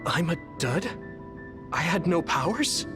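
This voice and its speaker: An earnest young soldier in a game cutscene, fairly high-pitched with wide swings. He speaks slowly and searchingly, bewildered yet polite, with music underneath. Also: big pitch swings. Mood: alarmed. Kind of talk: scripted video game cutscene acting.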